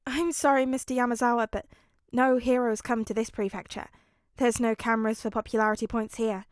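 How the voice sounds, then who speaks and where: A woman's voice: fairly high pitch, little pitch movement, brisk, no room sound, clean studio sound, close to an everyday voice. A woman voicing a capable, kind but stubborn teenage boy in a storybook reading. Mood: focused, firm. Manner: focused, switching from stern to cheery.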